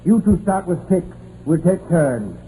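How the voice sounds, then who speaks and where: An actor playing a steady, cautious coal mine crew boss who gives clipped, practical orders in a 1950s radio drama. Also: male voice, sits high, measured pace. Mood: brisk, commanding. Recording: muffled old radio recording with faint noise.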